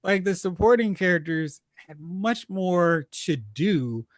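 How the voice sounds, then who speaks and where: A host chatting casually about pop culture, retelling reactions in slangy, animated asides. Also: medium pitch, slow pace, no room sound. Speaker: host of a film and TV discussion show.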